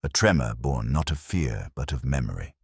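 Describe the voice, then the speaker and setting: Very deep, measured male storyteller. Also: very animated, creaky, breathy edge, no echo, studio quality. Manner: measured, solemn, literary delivery. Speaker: narrator. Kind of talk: scripted first-person detective story narration.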